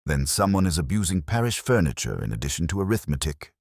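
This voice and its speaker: A belligerent, self-important church organist, acted out by a male narrator. He snaps and sneers with theatrical indignation, in a low voice that swings widely in pitch and sits in a dry, close recording. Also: big pitch swings, fast talker, vocal fry, no room sound, heavily acted. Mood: snapping, defensive. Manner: sharp, snapping, disdainful, theatrical.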